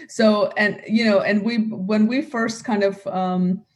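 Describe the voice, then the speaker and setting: A chatty woman from Russia, a company co-founder, speaks casually in an interview. She fills her talk with "you know" asides and keeps circling back to repeat herself. Her pitch is middling for a woman. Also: fairly even intonation, slow pace, a little mellow.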